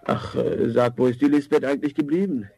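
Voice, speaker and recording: A man's voice: mid-range voice, a little fast, gravelly, husky. A man playing a wary, rich fat tavern companion in a fairy-tale audio drama, speaking hesitantly. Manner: hesitant, mellow.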